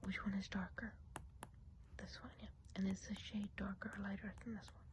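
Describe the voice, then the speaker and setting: A woman whispering softly and breathily in a chatty, confiding ASMR roleplay as a gossipy witch of the woods. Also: mid-range voice, little pitch movement, slight background noise. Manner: confiding, unhurried, casual gossip. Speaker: ASMR performer.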